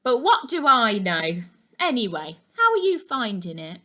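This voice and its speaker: Woman acting a gushing, high-pitched gossipy older busybody. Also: big pitch swings, a little slow, pushed and bright, full character voice. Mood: gushing, nosy. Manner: effusive, chatty, dramatic. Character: flamboyant gossipy village busybody woman in her sixties. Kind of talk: children's storybook character voice.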